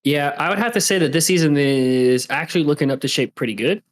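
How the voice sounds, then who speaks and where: A man's voice: on the high side, fast. A man co-hosting a casual podcast, laid-back and loose, full of likes and you-knows. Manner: laid-back, filler-heavy, says "like" and "you know". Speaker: podcast co-host.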